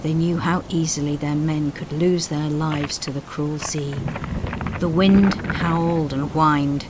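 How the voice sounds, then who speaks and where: A woman reading a scripted story in an expressive, suspenseful, dramatic storytelling style. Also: deep voice, a little slow, background noise. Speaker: story narrator. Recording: storm sound effects behind voice. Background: rain, thunder and storm effects.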